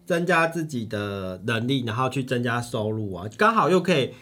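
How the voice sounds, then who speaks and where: A man's voice: mid-range voice, big pitch swings, normal speed, a bit bright. A man with a Taiwanese Mandarin accent talking through a book as a podcast co-host in a conversational style. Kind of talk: conversational book discussion about personal finance.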